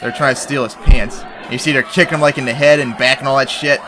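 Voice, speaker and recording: A man's voice: medium pitch, steady tone, quick pace, noisy background. A man giving heated, profane commentary on an article and news clips, reading passages aloud and reacting. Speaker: commentary vlogger.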